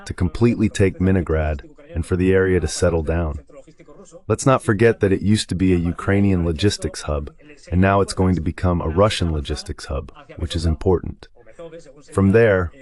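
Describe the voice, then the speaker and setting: Man, deep low voice, guest analyst, explanatory, conversational asides, voice-over commentary. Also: darkish tone. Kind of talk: live war commentary read as an AI voice-over translation.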